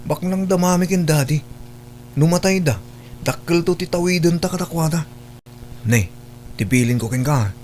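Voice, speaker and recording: A man's voice: fairly high pitch, normal speed, long pauses, darkish tone, a little hiss or hum, natural voice. A man playing a defiant, lazy college-age son in a radio drama, insolent and dismissive. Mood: defiant, sneering. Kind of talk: Ilocano radio drama acting.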